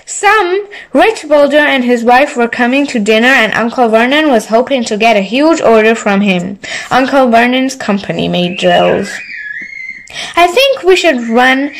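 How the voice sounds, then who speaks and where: A woman's voice: on the high side, normal speed, rough recording. A woman reading a children's story aloud as scripted narration. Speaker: audiobook reader.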